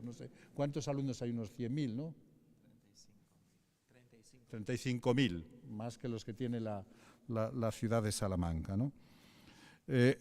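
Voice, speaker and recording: Mellow-voiced man introducing a speaker. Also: mid-range voice. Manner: reading with small hesitations and self-corrections. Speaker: presenter introducing a guest speaker. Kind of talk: formal introduction. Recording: reverberant lecture hall.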